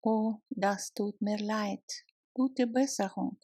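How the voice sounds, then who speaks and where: A woman narrating a language lesson, reading short scripted phrases deliberately and separating the words for learners. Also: mid-range voice, fairly even intonation, dark tone, slightly rough, dry recording, studio quality.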